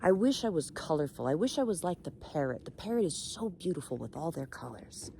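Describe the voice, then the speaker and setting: A woman's voice: low-pitched, sing-song, brisk, creaky, warm tone, a little hiss or hum, exaggerated voice. A woman voicing a discontented swan in a story, downcast and envious, complaining with emphasis.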